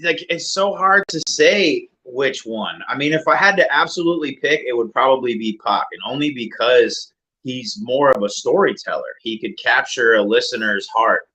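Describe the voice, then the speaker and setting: A man, a music producer and rapper, talking casually and reflectively as an interview guest in a deeper mid-range voice. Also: moderate pace, low-quality recording. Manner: relaxed, reflective, many fillers.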